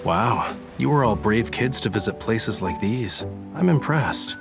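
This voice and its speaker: A man's voice: lowish voice, expressive, unhurried, creaky. A young man voice-acting a whimsical painter in a video game cutscene, wry, teasing and nonchalant. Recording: music behind the voice.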